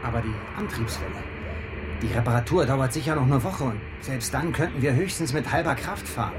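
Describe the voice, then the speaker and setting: An actor playing a cautious, formal subordinate submarine officer in a radio drama, voicing objections. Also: male voice, mid-range voice, a little fast, music in the background, close to an everyday voice. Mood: worried.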